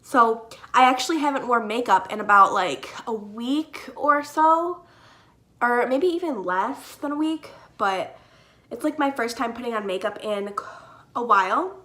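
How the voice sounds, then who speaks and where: Young female beauty vlogger, higher voice, slightly congested, chatty and filler-heavy. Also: lively intonation, unhurried, sharp tone. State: stuffy from a cold. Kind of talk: casual makeup tutorial.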